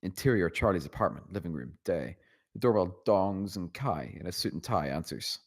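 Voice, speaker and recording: A man's voice: low-pitched, expressive, normal speed, no room sound, studio quality. A man reading stage directions as scripted narration at a screenplay table read.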